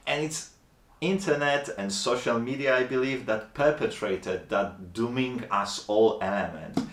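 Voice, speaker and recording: Guy reviewing a book, slow and kinda formal, roomy echo. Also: on the low side. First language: Polish. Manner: measured, thoughtful, slightly formal. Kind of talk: reflective book review monologue.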